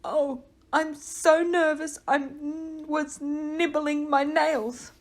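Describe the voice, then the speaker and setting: A woman's voice: high-pitched, steady tone, takes it slowly, voice pushed up. A woman voicing a nervous young male nightingale who stutters and whines tearfully. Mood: anxious, tearful.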